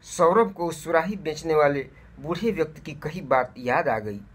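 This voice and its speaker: A man reading a scripted moral story for children in a measured, deliberate way. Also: on the high side, husky.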